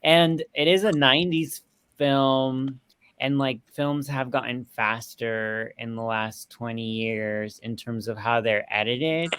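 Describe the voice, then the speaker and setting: A man chatting casually about film as a panelist, halting as he thinks aloud. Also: mid-range voice, fairly bright tone, clean tone, no echo. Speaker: panelist on a film review show.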